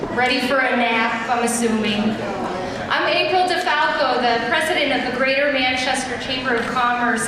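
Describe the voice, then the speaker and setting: A woman, president of a business group, giving an upbeat welcome speech with a joking touch. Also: high-pitched. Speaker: chamber of commerce president. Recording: echoey hall.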